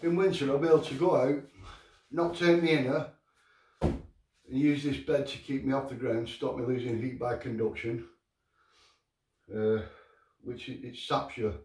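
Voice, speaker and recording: A man's voice: mid-range voice, fairly even intonation, normal speed, pauses often, a little mellow. Middle-aged northern English man, distant and roomy. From England. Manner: rambling, matter-of-fact, hands-on while assembling gear. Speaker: outdoor gear reviewer. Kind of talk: casual product review. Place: living room at home, indoors. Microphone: far camera on a tripod. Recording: roomy, distant camera audio, faint noise.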